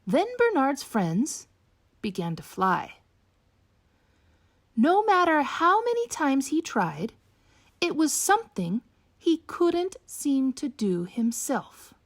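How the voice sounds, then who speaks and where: A woman narrates a bedtime picture book for young children. Her voice is high and lively, swooping through the sentences at an unhurried pace, with a dry, close sound. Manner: slow, animated read-aloud delivery for young children. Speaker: teacher reading a picture book aloud to children. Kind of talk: expressive bedtime storybook narration.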